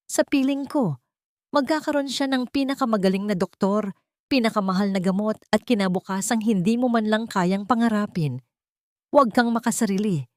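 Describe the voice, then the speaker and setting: A woman's voice: medium pitch, darkish tone, dry recording, clean studio sound, pitched above the performer's own voice, close to an everyday voice. A woman voicing a cold, haughty rich woman in an audio drama, mocking and condescending.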